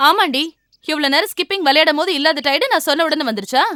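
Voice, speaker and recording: A woman's voice: high voice, quick pace, bright, forceful tone, dry recording. An actress playing an exasperated mother in a children's cartoon, scolding and coaxing a child. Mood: nagging. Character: exasperated mother nagging her young daughter. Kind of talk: children's animated series dubbing.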